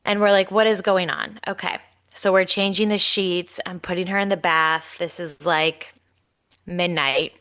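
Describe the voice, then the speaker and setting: A woman in her thirties, congested and nasal, co-hosting a podcast and telling a story in a lively, chatty way. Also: lowish voice, unhurried. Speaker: podcast co-host. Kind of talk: casual storytelling chat.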